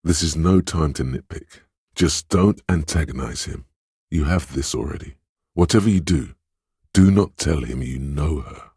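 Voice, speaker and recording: An actor voicing a sly inner voice in a video game, reading people and coaching in a measured, knowing, insinuating way with a gravelly, husky delivery. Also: male voice, a bass voice, mellow, dry recording, studio quality, exaggerated voice. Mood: cautioning. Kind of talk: scripted video game narration.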